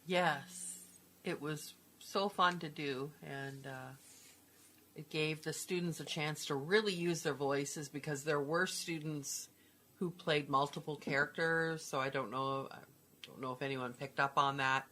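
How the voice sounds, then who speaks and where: A woman's voice: low voice, fairly even intonation, a little slow, bright, forceful tone. A woman co-hosting a casual, unscripted chat as a drama instructor. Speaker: host, drama school instructor, co-producer.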